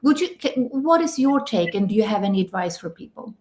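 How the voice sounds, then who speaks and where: A woman hosts a live online talk from a video call, speaking at a medium pitch. She greets the audience and frames questions, often restarting words mid-phrase. Her manner is friendly and engaged. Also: big pitch swings, a little hiss or hum. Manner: warm, slightly halting, repeats words. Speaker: host.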